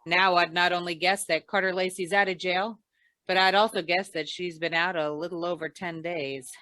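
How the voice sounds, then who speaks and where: A woman playing a wry, shrewd hard-boiled insurance investigator in deadpan 1940s radio noir style. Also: fairly low pitch, moderate pace, no room sound. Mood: wry, probing.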